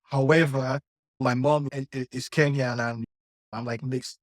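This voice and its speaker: A young man from Nigeria co-hosts a casual podcast, speaking in an earnest, reflective way and leaning on "like" as he thinks. His voice sits at a middling pitch. The recording is dry and close, with no room sound. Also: steady tone, a little slow, no room sound. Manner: earnest, reflective, many "like" fillers. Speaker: podcast co-host. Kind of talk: casual chat.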